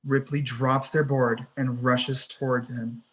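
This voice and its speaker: Medium-pitched narrator reading screenplay directions. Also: level tone, takes it slowly, a bit bright, husky. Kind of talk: scripted narration at a screenplay table read.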